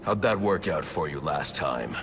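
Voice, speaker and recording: An actor playing a grim, terse masked vigilante in a video game, controlled and intimidating, with a gravelly voice. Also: male voice, very deep voice, lively intonation, unhurried, raised voice, background noise, full character voice. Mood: menacing.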